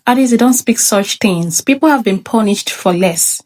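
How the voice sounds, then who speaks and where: A woman voicing a fearful mother who speaks in an anxious, hushing way in a narrated folktale. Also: medium pitch, expressive, normal speed, lightly acted. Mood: fearful warning. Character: fearful, cautioning mother of a teenager.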